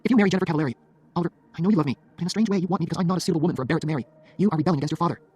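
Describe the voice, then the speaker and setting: A man voicing a bright, teasing, outspoken young woman. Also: a little high, very fast, slightly rough, pitched above the performer's own voice. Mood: reproachful.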